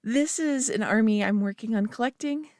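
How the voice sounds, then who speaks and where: A woman's voice: a little high, normal speed, darkish tone, no echo, studio quality, natural voice. A young woman voicing a nerdy friend who rambles eagerly about her tabletop hobby, full of "like" and giggles, in a scripted roleplay. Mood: rambling eagerly. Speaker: voice actor in an audio roleplay. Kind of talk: scripted roleplay monologue to the listener.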